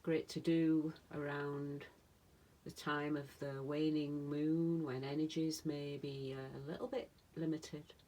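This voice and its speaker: A woman meditation teacher leading a guided relaxation in a soothing manner, speaking in short phrases with long pauses. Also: deep voice, level tone, warm tone. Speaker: yoga nidra meditation teacher.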